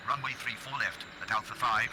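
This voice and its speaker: A man voicing an airline pilot in a simulator game, giving brisk, procedural readbacks in clipped aviation radio style. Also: mid-range voice, steady tone, noisy background, close to an everyday voice. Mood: brisk, neutral. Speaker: pilot reading back clearances.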